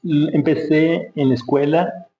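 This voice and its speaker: A man's voice: fairly high pitch, fairly even intonation, measured pace. A man with an Ecuadorian accent talking casually as an interview guest, informal and reflective, thinking aloud. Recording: roomy, dull sound with faint hum.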